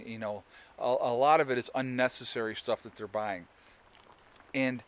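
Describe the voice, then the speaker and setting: A man's voice: mid-range voice, a bit bright. Man, 40s, homestead vlogger, selfie-style outdoors, loose rambling delivery. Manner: rambling, many fillers and restarts. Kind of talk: casual talk to camera. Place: outdoors in woods on a sunny day. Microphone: phone or camera held at arm's length.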